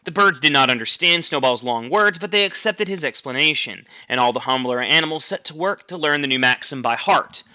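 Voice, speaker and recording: A male teacher reading a novel aloud to his class with expressive, clear phrasing. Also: mid-range voice, dry recording, dull audio.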